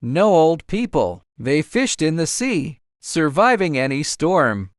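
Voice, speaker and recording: A man's voice: on the high side, big pitch swings, takes it slowly, dry recording, studio quality. Audiobook villain, read by a man: boastful, immortal schemer, showy, very varied intonation. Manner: gloating, showing off. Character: boastful, greedy male villain who defies death.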